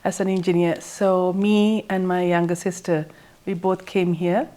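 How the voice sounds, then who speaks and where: A woman's voice: on the low side, little pitch movement, a little slow, warm tone. A middle-aged woman from Sri Lanka who is a teacher and school owner, talking plainly and reflectively about her life, with frequent small hesitations. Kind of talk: interview, recounting her life.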